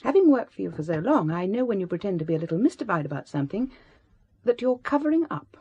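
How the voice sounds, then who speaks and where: A woman's voice: medium pitch, very animated, quick pace, dark tone, slightly rough, muffled recording. An actress playing a prim, shrewd middle-aged secretary in a radio drama, formal and reproving yet devoted to her employer. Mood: suspicious, reproving.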